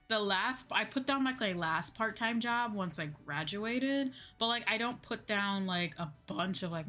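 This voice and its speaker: A woman's voice: mid-range voice, a bit bright. A woman talking casually to viewers on a livestream, informal and conversational, peppering her talk with "like" and "you know". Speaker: VTuber livestream host. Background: background music.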